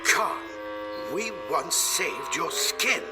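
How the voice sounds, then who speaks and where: A man's voice: a little high, animated, very slow, creaky, exaggerated voice. A man voicing a prim, worried panther guardian in a cartoon, speaking formally and with exasperation. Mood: stern worry. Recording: loud music behind the voice.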